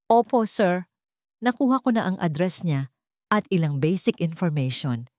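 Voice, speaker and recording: A woman's voice: fairly low pitch, big pitch swings, normal speed, a little mellow, no echo. Deferential male aide acted by a woman, Filipino accent, polite and hesitant, dry audio-drama recording.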